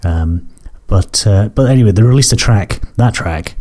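Scottish male podcast host, deep, croaky, apologetic, rambling. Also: normal speed, warm tone. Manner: rambling, self-correcting, apologetic. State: croaky from a cold and cough. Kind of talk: casual radio-style chat between tracks.

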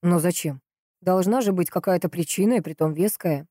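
A woman voicing a polite, sceptical, puzzled male police investigator in a crime audiobook, questioning in a measured way. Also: lowish voice, mellow, no room sound, studio quality, lightly acted. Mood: probing, doubtful.

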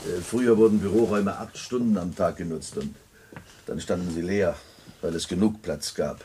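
Low-voiced middle-aged man acted, a dry, world-weary clerk in a seventies sci-fi radio drama, resigned and grumbling. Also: moderate pace, mellow, slight background noise, close to an everyday voice. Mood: dry, pedantic. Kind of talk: 1970s German radio play acting.

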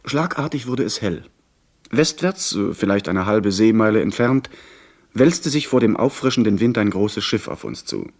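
A young gentleman telling a seafaring adventure, his voice on the low side. The delivery is earnest, literary and dramatic, in the scripted style of a radio play. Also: lively intonation, moderate pace. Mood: vivid, tense. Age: young adult. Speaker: narrator and character.

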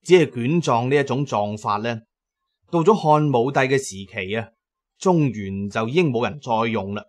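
A man's voice: mid-range voice, lively intonation, moderate pace. A man giving dramatic first-person narration as the narrator of an audio drama.

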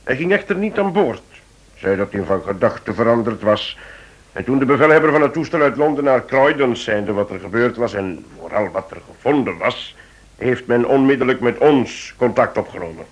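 A man's voice: medium pitch, animated, normal speed, breathy edge, muffled recording. A man playing a composed senior investigator in a radio play, explaining things formally. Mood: matter-of-fact.

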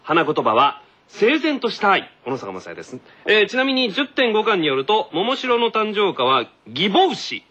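A man's voice: high-pitched, huge pitch swings, moderate pace, hardly any pauses, fairly bright tone. A man co-hosting a comedic radio show, bantering freely in a playful, mock-indignant, joking manner. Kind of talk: comedic free-talk banter. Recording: dull lo-fi radio recording. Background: music.

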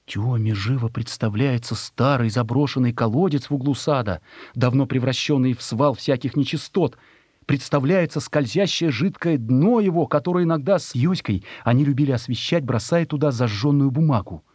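A man's voice: medium pitch, normal speed, a little mellow, slightly rough, no echo, studio quality. A man reading a literary story as a narrator, expressively and with emotion, in a scripted dramatic style.

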